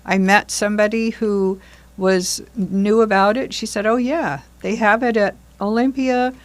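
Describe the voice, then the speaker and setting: A woman's voice: medium pitch, fairly even intonation, measured pace, no room sound. Older woman chatting in a dry-sounding space. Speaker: member and performer in a seniors' readers theater group. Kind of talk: casual interview conversation.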